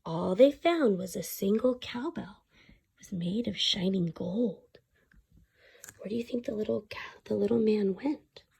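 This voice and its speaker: A woman reading a children's story aloud expressively, like a teacher, pausing to explain and ask the young listeners questions. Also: medium pitch, lively intonation, gravelly, warm tone, breathy edge.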